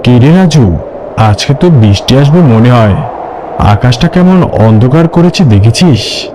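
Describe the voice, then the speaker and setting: A man's voice: medium pitch, animated, unhurried, noisy background, lightly acted. A man playing a bold, boastful forest guard with a brash, bossy manner in a horror cartoon. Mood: confident, bossy.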